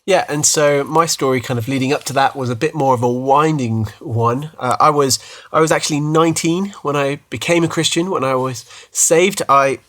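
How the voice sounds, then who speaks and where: A man's voice: medium pitch, normal speed, few pauses, a bit bright. A British man in his thirties co-hosting a faith podcast, chatty and self-deprecating, often restarting his sentences. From United Kingdom. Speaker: podcast co-host. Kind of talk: casual faith podcast chat.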